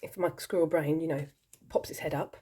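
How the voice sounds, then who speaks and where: A woman talking to camera in a rambling, chatty way with lots of ums, her voice croaky from a cold. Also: low-pitched, steady tone, brisk, warm tone. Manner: chatty, rambling, self-deprecating, many ums. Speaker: tarot vlogger. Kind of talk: casual chat to camera.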